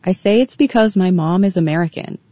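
A woman in her twenties narrating her own story in a reflective, measured, scripted way. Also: on the low side, warm tone. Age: 20s. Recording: dull, muffled audio.

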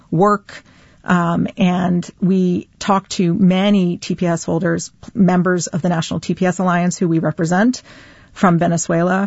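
A woman speaking as a legal advocate guest on a radio interview, slow and deliberate with pauses. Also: on the low side, creaky, a little mellow, slightly rough, no echo, muffled recording. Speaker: civil liberties lawyer guest.

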